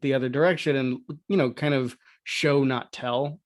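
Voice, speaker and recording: Male podcast guest, conversational and somewhat halting explainer, recorded dry. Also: mid-range voice, steady tone, no echo. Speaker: podcast guest, audio drama producer. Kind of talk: casual explanatory chat.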